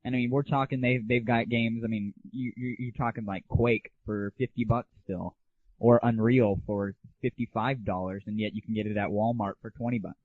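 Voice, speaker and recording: A man's voice: on the low side, steady tone, normal speed. A man hosting a gaming audio show, talking casually with a blunt, irreverent, opinionated manner. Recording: muffled, phone-like lo-fi 1990s internet audio.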